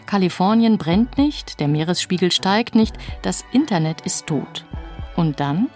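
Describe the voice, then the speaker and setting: Lower-pitched woman presenting over music. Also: expressive, unhurried, a little mellow. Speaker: radio presenter. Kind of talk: scripted radio introduction.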